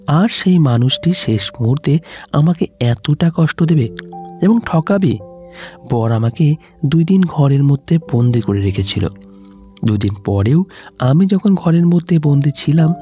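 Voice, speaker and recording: Mellow male story narrator. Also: medium pitch. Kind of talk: scripted first-person emotional story narration. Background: faint background music.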